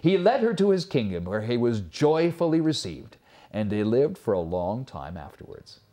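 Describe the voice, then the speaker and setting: A man reading a fairy tale aloud as a storyteller, expressive and theatrical, with measured phrasing. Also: medium pitch.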